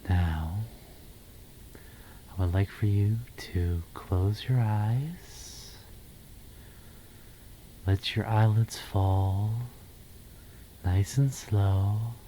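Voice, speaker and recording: A man guiding a meditation in ASMR style, speaking softly and breathily, half in a whisper, with a soothing, gentle manner and pauses between phrases. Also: fairly low pitch, a little hiss or hum.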